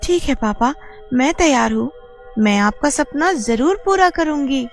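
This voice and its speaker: A shrill, excitable young girl in a cartoon, voiced by a woman. Her voice is very high and lively, full of exclamations and pleading, with faint music behind. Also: measured pace. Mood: excited, pleading.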